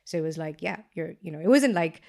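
A woman with a notably low, deep voice recounts her upbringing in a relaxed interview. She is chatty and animated, with frequent "like" fillers and quick asides. She comes from Kenya and speaks with easy, open warmth of memory. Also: creaky. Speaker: guest entrepreneur. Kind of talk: casual interview storytelling.

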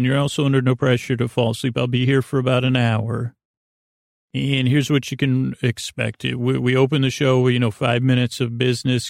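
Creaky-voiced, rambling man. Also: medium pitch, dry recording, studio quality. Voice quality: creaky, soothing. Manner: meandering, halting, silly and goofy. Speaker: podcast host. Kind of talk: rambling, whimsical bedtime monologue. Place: podcast studio.

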